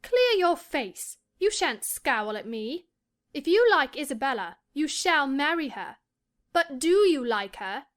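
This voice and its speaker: A woman's voice: sits high, big pitch swings, a little slow, full character voice. A woman playing an imperious, willful young lady with a haughty, commanding manner in a dramatized classic novel. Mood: demanding. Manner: commanding, haughty, sharp-tongued.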